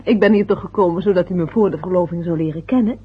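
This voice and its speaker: A very breathy young fiancée in a radio play, polite and eager to please, with soft nervous laughs. Her pitch sits in a middle range. The recording is dull and lo-fi, from an old radio broadcast. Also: fast. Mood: earnest. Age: young adult.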